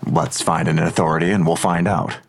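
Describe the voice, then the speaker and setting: An actor playing a sardonic, defiant police detective in an audio drama, answering curtly and dryly. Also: male voice, deep voice, little pitch movement, fairly quick, gravelly, husky, heavily acted. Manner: curt, dry, evasive.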